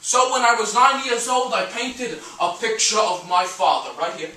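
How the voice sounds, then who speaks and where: A man's voice: sits high, lively intonation, moderate pace, sharp tone, voice pushed up. A man playing a brash, cantankerous middle-aged comedian-painter in a theatrical monologue, stammering and swinging between muttering and bellowing. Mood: nostalgic storytelling. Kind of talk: one-man dramatic monologue on stage. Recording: echoey room, distant microphone.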